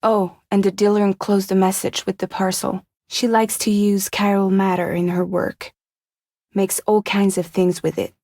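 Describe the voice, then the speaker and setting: A woman's voice: medium pitch, little pitch movement, normal speed, a little mellow, no echo, clean studio sound, lightly acted. An actress playing a weary, wry young woman courier in a video game, guarded but caring, speaking in an understated, intimate way. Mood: matter-of-fact, wry.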